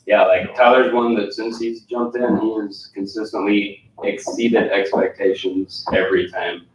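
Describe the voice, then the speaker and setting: A man's voice: fairly low pitch, steady tone, a little slow. A man answering questions as a guest in a casual chat, hesitant and restarting his sentences. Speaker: guest, insurance manager. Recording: echoey room, mic far off.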